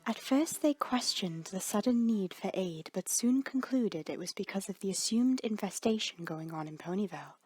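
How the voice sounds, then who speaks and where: A woman storyteller reading scripted fiction dramatically, with suspenseful, breathy phrase endings. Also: medium pitch, lively intonation, normal speed, few pauses, a little mellow, no room sound.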